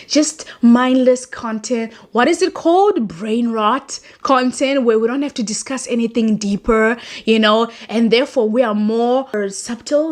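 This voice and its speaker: Young woman ranting casually, english not her first language, kinda high voice, rambles and laughs. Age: young adult. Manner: chatty, rambling, laughs at own points. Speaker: host. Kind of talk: casual commentary monologue.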